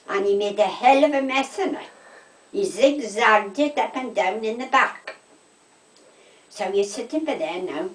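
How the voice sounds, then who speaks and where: A woman, getting on in years, chats in a South Wales Valleys accent. She tells gossipy anecdotes in an animated way, often acting out who said what. The room echoes and she sits some distance from the microphone. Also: medium pitch. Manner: animated anecdotes, quoting conversations, checking with "right?". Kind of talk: casual chat, gossipy storytelling. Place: indoors at home.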